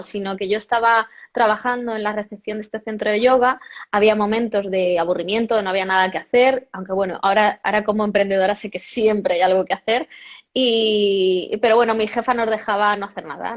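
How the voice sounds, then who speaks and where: A woman, a yoga cartoonist, chatting casually as an interview guest, correcting herself often and laughing now and then. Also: mid-range voice. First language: Spanish. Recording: dull lo-fi audio.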